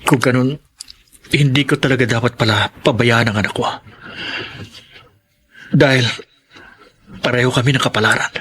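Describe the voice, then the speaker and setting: A man's voice: medium pitch, fairly even intonation, normal speed, long pauses, a bit bright, close to an everyday voice. A man with a Filipino accent playing a troubled, conciliatory grown son and errant husband in a radio drama. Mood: troubled, subdued. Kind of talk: melodramatic radio drama acting.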